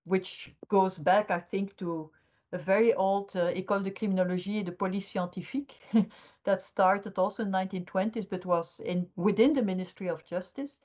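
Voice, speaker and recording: An older woman from Belgium, a criminology professor, explaining things in a measured way with frequent restarts in a podcast interview. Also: mid-range voice, steady tone, a little mellow. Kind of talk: academic interview answers. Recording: dull lo-fi audio.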